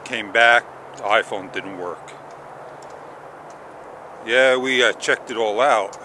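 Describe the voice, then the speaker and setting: A middle-aged man vlogging casually as he walks a park path in the morning, rambling along with pauses, his throat a little sore, recorded on an action camera held at arm's length. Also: on the low side. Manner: rambling, relaxed, thinks aloud with many pauses. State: sore throat, sucking on candy. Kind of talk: casual walk-and-talk vlog. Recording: outdoor noise with traffic behind. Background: passing traffic and outdoor ambience.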